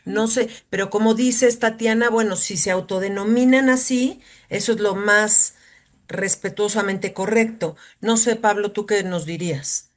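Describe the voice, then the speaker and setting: A woman acts as host of an academic panel. She speaks conversationally at a medium pitch, putting questions to her guests. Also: a little slow.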